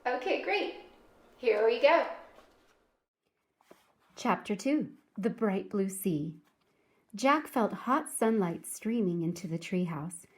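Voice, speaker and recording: A woman reading aloud to children like a teacher, speaking clearly and deliberately in a storybook narration style. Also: medium pitch, expressive. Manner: clear, deliberate, addresses young listeners.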